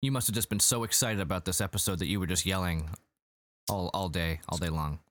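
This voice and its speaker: A man hosting a podcast, chatting casually about a show with friends, relaxed with lots of fillers and restarts. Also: sits low, brisk, dry recording. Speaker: podcast host. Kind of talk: casual review chat.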